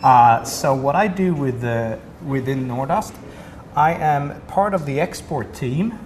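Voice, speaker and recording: Swedish-accented man, company rep, mid-pitched, detailed friendly explainer, noisy room. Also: lively intonation, slow pace. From Sweden. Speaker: company representative. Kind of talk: product demo interview.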